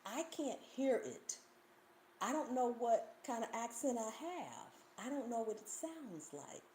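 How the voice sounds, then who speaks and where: A woman with a Southern US accent chats on a cooking channel's live Q&A, telling stories and reading out viewer comments. Her pitch swoops widely as she talks. The room gives her voice a roomy echo over a faint hiss. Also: measured pace. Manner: animated storytelling, reads viewer comments, laughs often. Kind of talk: casual livestream Q&A chat.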